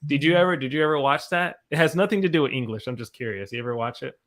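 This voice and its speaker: A man's voice: on the high side, fast, fairly bright tone. Easygoing American male interview host. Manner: easygoing, encouraging, repeats himself. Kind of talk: casual livestream interview.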